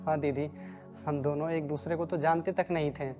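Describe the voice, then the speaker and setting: A man acts a young runaway groom, devoted and anxious. The delivery is flat in melody, with slightly dull sound and faint music. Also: a little high, moderate pace, close to an everyday voice. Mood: anxious devotion. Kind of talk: Hindi moral drama acting.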